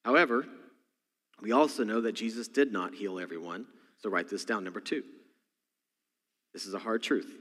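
A man's voice: fairly low pitch, normal speed, pauses often, a bit bright. A man with a Texas accent, a senior pastor preaching a sermon with folksy, joking asides. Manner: folksy, jokey storytelling with asides. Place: church sanctuary. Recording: long church hall reverb.

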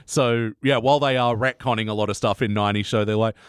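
A man's voice: lowish voice, steady tone, fast talker, clean tone, no room sound, clean studio sound. A man hosting a casual podcast, speaking enthusiastically in slangy language and laughing readily. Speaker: podcast host.

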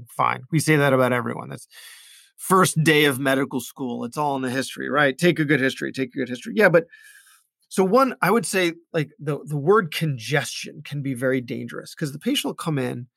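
A male ENT physician talks through patient stories in a relaxed, conversational way. His voice sits a bit high for a man, and he often repeats words and restarts sentences as he thinks aloud. The recording is close and dry, with no room sound. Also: gravelly, no echo. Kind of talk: conversational talk about patient cases.

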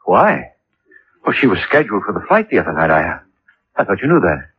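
Distraught, low-voiced male airline manager. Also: sing-song. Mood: agitated, distressed. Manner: stammering, halting. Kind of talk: 1950s radio drama acting. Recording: dull old radio broadcast recording.

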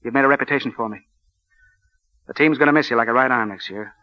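A man's voice: mid-range voice, fast talker, lightly acted. A man playing a commanding, wry American college football coach with clipped, bossy delivery, in 1940s radio drama style. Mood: teasing, fond. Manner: clipped, bossy, wryly sarcastic. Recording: dull lo-fi 1940 radio broadcast.

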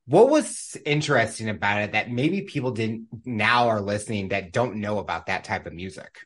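A man's voice: fairly low pitch, lively intonation, moderate pace, fairly bright tone, breathy edge. A man hosting a podcast, asking his guest eager, upbeat questions. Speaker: podcast host. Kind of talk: interview hosting.

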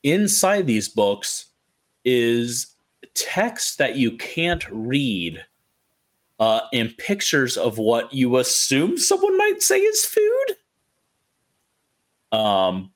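A man runs a tabletop game in a casual, improvised style. His pitch moves around a lot while his pace stays slow. He feels his way through the narration, stopping and correcting himself. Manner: halting, self-correcting delivery. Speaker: game master.

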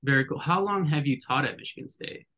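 A man's voice: fairly high pitch, fast talker, a bit bright. Friendly man hosting a podcast. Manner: friendly, enthusiastic, repeats words, uses "like" and "um". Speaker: podcast host. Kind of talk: casual interview.

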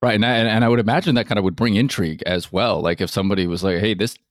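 A man's voice: lowish voice, rapid-fire, no echo, clean studio sound. A man hosting a podcast interview, talking in a wordy, agreeable, conversational manner.

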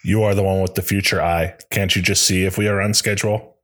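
A deadpan metal villain's sidekick played by a man speaks in a fairly low voice. He needles with questions in a tabletop game. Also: brisk. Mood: needling.

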